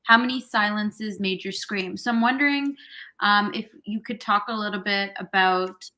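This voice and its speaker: A woman's voice: mid-range voice, normal speed, bright, forceful tone. A woman hosting a live-stream auction interview in an upbeat, casual way. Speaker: host.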